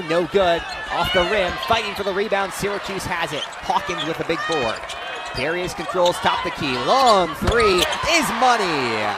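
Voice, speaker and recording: Energetic young male play-by-play announcer, noisy crowd. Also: sits high. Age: young adult. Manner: energetic, shouts on big plays. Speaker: play-by-play sports commentator. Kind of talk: live basketball radio play-by-play. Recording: loud crowd and gym noise behind.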